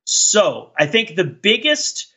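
A man's voice: high-pitched, big pitch swings, takes it slowly, bright, forceful tone, breathy edge, highs cut off. A man co-hosting a podcast, talking through pop-culture ideas in a casual, analytical way, discursive and hedging as he thinks aloud. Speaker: podcast co-host.

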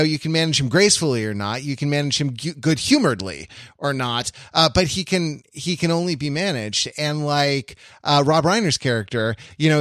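Halting, analytical man, dry close sound. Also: medium pitch, a bit bright, no echo. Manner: halting, searching for words, repeats and rephrases himself. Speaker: podcast host. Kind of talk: analytical discussion of classic television.

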